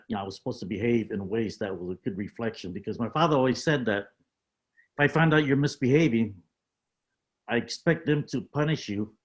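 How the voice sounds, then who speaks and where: An older man speaks over a video call as a panel guest, reflecting on his life story. He talks in a measured, halting way, pausing on fillers as he picks his words. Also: fairly low pitch.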